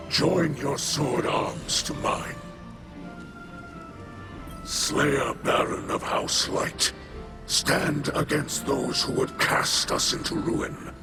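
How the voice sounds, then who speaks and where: A man's voice: medium pitch, vocal fry. An actor playing an aged alien king in a video game, formal and solemn, pleading for help. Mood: grave. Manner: solemn, formal, speaks as if giving a royal oath. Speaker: king of his house. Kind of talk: video game cutscene voice acting. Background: loud orchestral game score.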